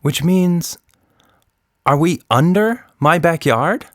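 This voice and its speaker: A man's voice: on the high side, lively intonation, slow, fairly bright tone, no room sound, clean studio sound, voice pushed up. A man voicing a curious, plucky young girl in a children's adventure story, with a questioning, exclaiming manner. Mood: excited.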